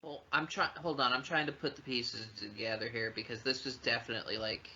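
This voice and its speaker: A man co-hosting a podcast, chatting casually with opinions, halting and then emphatic. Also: medium pitch, fairly even intonation, bright, forceful tone. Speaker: podcast co-host. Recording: dull audio with faint background noise.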